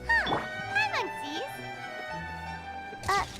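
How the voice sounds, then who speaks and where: A woman voicing a tiny, perky, outspoken fairy companion in a video game, with a squeaky childlike voice and exclamatory manner. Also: huge pitch swings, drawn-out words, heavily acted. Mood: surprised, curious. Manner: exclamatory, speaks in third person. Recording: loud music over the voice.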